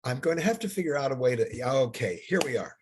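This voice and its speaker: An older man who grew up in Bangladesh, giving a halting seminar lecture over slides, with many fillers and restarts. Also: medium pitch, very animated, slightly rough.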